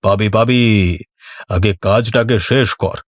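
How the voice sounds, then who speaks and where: A man's voice: on the low side, steady tone, measured pace, dry recording, clean studio sound. A man voicing a covetous rich village boss in a cartoon, calculating and commanding toward his underling. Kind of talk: cartoon voice acting.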